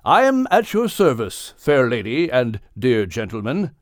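A man's voice: medium pitch, wildly swooping pitch, measured pace, no room sound, studio quality. A man voicing a genteel, distinguished male whale with a proper English accent and formal, cheerful phrasing. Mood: delighted.

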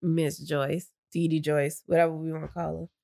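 A woman's voice: low-pitched, moderate pace, vocal fry, no room sound, studio quality. A woman co-hosting a casual chat, telling a story with a stuffy nose and catching her breath between rambling sentences. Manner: chatty, downplays excitement, rambling with restarts. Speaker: podcast co-host.

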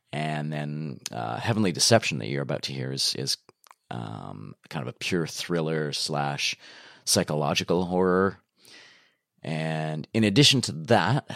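Young canadian guy, low voice, chatty and upbeat, dry close sound. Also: vocal fry, a bit bright, dry recording. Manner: upbeat, with many fillers and restarts. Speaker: dialogue editor. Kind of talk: casual interview talk.

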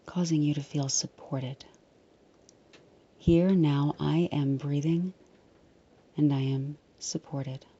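Female meditation guide, low and mellow, soft breathy near-whisper, reassuring and unhurried. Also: steady tone, muffled recording. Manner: soothing, unhurried, gently reassuring.

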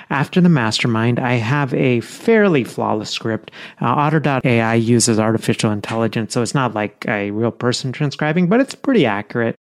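A man hosting a solo podcast episode, talking in an earnest, conversational way with frequent fillers and self-corrections. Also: mid-range voice. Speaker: podcast host.

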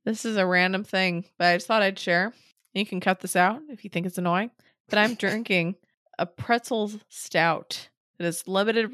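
A woman's voice: medium pitch, fairly bright tone, no room sound, studio quality. A young woman co-hosting a casual podcast, talking loosely with laughs and swearing, her voice still a little off from a cold. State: recovering from a cold, voice a bit off. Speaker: podcast co-host. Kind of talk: casual chat.

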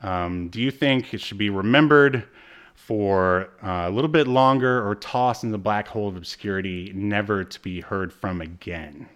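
A man hosting a casual pop-culture review chat, speaking in a halting, self-deprecating way. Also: lowish voice, a bit bright. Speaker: podcast host.

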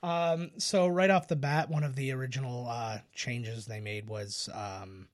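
A man's voice: mid-range voice, fairly even intonation, unhurried. A man co-hosting a podcast, explaining film trivia in a casual, informative way. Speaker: podcast co-host.

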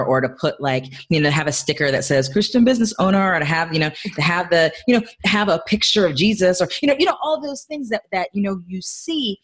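A woman speaking as a podcast guest in a conversational teaching style, emphatic and repeating phrases for stress, often checking in with short tag questions. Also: fairly low pitch. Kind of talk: conversational teaching on faith and business.

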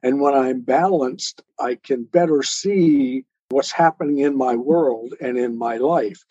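Male interview guest, mellow and slightly husky, earnest and measured explainer, dry but dull recording. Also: medium pitch, no echo. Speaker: interview guest, author and speaker. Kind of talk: earnest explanatory interview talk on self-help and faith.

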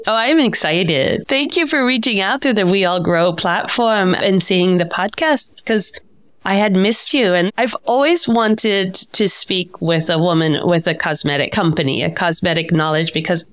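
A woman speaks as the host of an interview podcast. Her voice sits a little low. The recording is dry, with very little room sound. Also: normal speed, no room sound. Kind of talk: scripted podcast introduction and interview.

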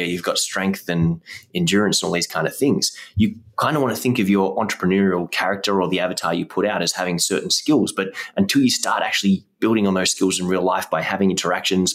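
Low-voiced man, husky, motivational. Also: a bit bright. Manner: motivational, coaching tone. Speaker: podcast host and guitar teacher. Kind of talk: casual interview conversation.

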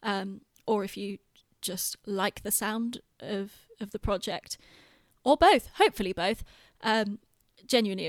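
A woman's voice: mid-range voice, no room sound, studio quality. A British woman chatting casually on a podcast in an informal, self-deprecating way. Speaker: podcast host and theatre director.

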